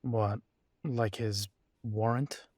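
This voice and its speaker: Man playing slow-talking, earnest werewolf alpha. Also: on the low side, steady tone, darkish tone, no room sound. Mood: worried, resolute. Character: earnest, protective young male werewolf pack alpha. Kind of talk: audio roleplay acting.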